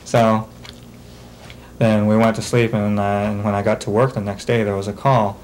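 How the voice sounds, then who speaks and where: A man's voice: fairly low pitch, level tone, normal speed, a little mellow, poor audio. A man being interviewed, casually recounting events step by step in a rambling way. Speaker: documentary interviewee. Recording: faint noise, amateur video interview.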